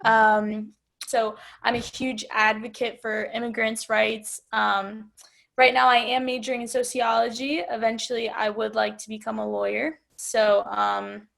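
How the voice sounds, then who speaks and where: A woman's voice: a little high, flat delivery, a little slow, vocal fry, fairly bright tone. A young woman, a college student, casually introducing herself as a panelist on a video call. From Uruguay and New Jersey. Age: young adult.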